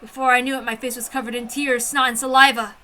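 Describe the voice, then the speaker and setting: Bright, higher-pitched woman reading dramatically. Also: quick pace. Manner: expressive, intense. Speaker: amateur story reader. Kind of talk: dramatic first-person story narration.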